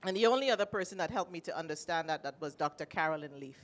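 A middle-aged woman with a Trinidadian accent, sharing a personal story at a panel with animated, emotional delivery, speaking into a handheld mic. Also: low voice, fast talker. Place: panel stage in a large indoor room.

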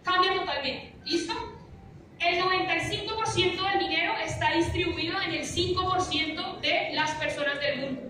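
A woman's voice: extremely high pitch, moderate pace. A young woman giving a punchy, rhetorical finance seminar to a room, often asking "¿listo?". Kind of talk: motivational seminar talk. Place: large lecture room with a projector screen, recorded by an audience phone. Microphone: audience phone camera, from afar. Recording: echoey room from far off, faint hiss.

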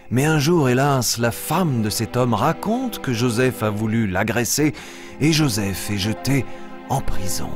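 Eager, animated young lion storyteller. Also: male voice, medium pitch. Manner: expressive, simple and clear telling. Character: eager young boy lion, cheerful storyteller of Bible tales. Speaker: storyteller of a children's show. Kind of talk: animated storytelling for small children.

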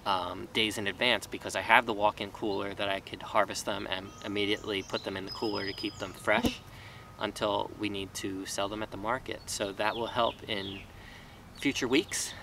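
Gravelly-voiced man vlogging outdoors. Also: on the low side, fairly even intonation, normal speed. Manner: rambling, with many fillers and restarts. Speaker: small farmer. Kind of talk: casual talking-head vlog. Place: outdoors in a vegetable garden. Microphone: camera mic from a few feet away. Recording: distant mic with faint outdoor noise.

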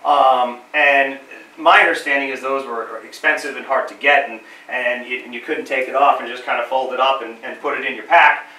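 A man's voice: mid-range voice, steady tone, moderate pace, bright, forceful tone. Man with a cold giving a roomy history talk. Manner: friendly, many fillers and repeated words. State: has a cold, slightly nasal. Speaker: living history presenter. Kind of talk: informal history talk to a small audience. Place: small library meeting room. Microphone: camera across the room. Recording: roomy, distant camera sound.

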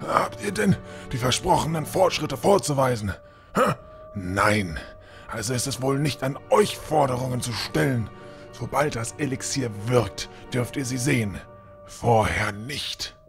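A man's voice: medium pitch, expressive, a little slow, faint music behind. A man playing an irritable, commanding, scornful old lord in a fantasy audio drama. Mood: sharp, threatening. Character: grumpy, imperious old lord of the house. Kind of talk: fan-made fantasy audio drama acting.

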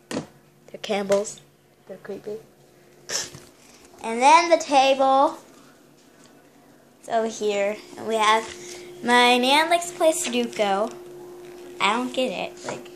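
A young woman chats her way through a tour of her small house, rambling and giggling as she goes. Her talk is slow and unhurried, her voice sits a little high, and the handheld phone recording carries a steady wash of noise. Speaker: vlogger giving a home tour. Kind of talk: casual home tour narration. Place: small house, kitchen and bathroom. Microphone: handheld phone camera. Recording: noisy home phone video.

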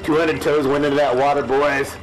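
A man's voice: on the high side, level tone, fairly quick, natural voice, low-quality recording. Man playing a grave old-salt fisherman over music. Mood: grave, haunted. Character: grizzled veteran muskie fisherman recounting a grim ordeal, spoofing a salty sea hunter. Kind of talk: parody radio drama monologue.

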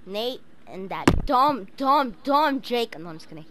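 A woman with a high voice role-plays in a game chat. Her pitch jumps around in a very animated way as she talks bluntly and teasingly. The recording is clearly noisy. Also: measured pace. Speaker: player in a role-play gaming session.

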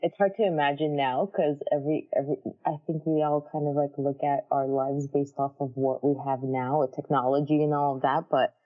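A woman in her thirties, originally from Armenia, telling stories from her life in a relaxed interview chat with lots of fillers. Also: low voice, fairly quick, few pauses, narrow, tinny audio, faint music behind. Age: 30s. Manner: chatty, warm storytelling with many fillers. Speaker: guest, character designer.

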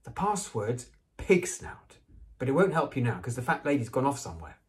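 A man's voice: mid-range voice, big pitch swings, normal speed, voice pushed up, lightly acted. A man voicing a hot-tempered, blunt young schoolboy who scolds impatiently in a storybook reading. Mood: exasperated.

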